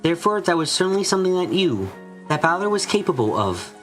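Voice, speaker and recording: A man voicing a solemn, dutiful female servant with formal, measured wording in a visual novel fan dub. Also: high-pitched, big pitch swings, over music. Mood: composed.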